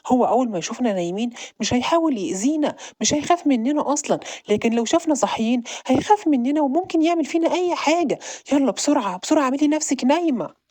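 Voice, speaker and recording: A woman voicing a young boy who acts the protective big brother, talking fast and bossily. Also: high voice, animated, warm tone, no room sound, voice pushed up. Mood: bossy. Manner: bossy, protective. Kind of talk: audio drama.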